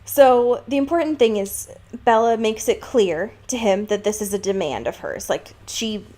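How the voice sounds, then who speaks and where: A woman co-hosting a podcast, chatting casually about a book and retelling it in an amused, halting way with acted-out quotes. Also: medium pitch, dark tone. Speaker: podcast co-host. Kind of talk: casual book discussion chat.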